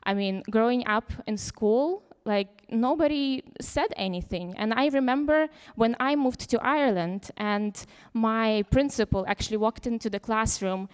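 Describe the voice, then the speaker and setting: A woman's voice: a little high, moderate pace, hardly any pauses, a little mellow, smooth. A young woman from Ukraine giving a casual, unscripted conference talk, full of "you know" and fillers. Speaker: conference speaker. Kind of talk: informal talk without slides. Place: lecture hall. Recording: reverberant hall.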